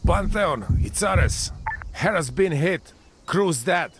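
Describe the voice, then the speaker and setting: A man playing a gritty, weary tank commander in a military game, speaking in clipped radio procedure over loud engine noise. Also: sits high, animated, takes it slowly. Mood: grim, subdued.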